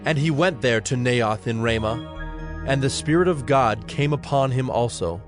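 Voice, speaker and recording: A man's voice: medium pitch, unhurried. A man narrating scripture from a script as the narrator of a dramatized audio production. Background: music under the voice.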